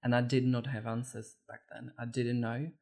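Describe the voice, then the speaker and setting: A man in his thirties, born and raised in the Republic, telling his story earnestly with halting restarts as a podcast guest. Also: mid-range voice, flat delivery, gravelly, dark tone. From Czech Republic. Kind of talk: conversational storytelling.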